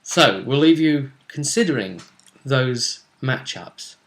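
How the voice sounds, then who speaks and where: A man's voice: medium pitch, expressive, slow pace, fairly bright tone. A man hosting a role-play vidcast, talking casually through previews of upcoming matches.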